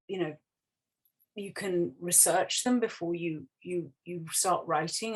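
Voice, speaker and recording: Woman writer on a panel, lowish voice, thinking out loud, hesitant, rewords herself a lot. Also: husky, rough recording. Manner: hesitant, self-correcting, candid. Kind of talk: reflective interview talk.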